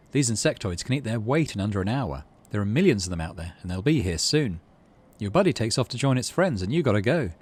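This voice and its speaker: A man's voice: mid-range voice, slightly rough. A man hosting a game playthrough, reading story text aloud expressively and adding casual asides. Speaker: gaming video host.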